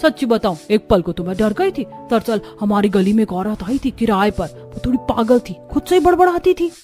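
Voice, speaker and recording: A woman's voice: mid-range voice, lively intonation, moderate pace, darkish tone, faint music behind, natural voice. A woman voicing a nosy, gossipy neighbourhood aunty in a cartoon. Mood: curious.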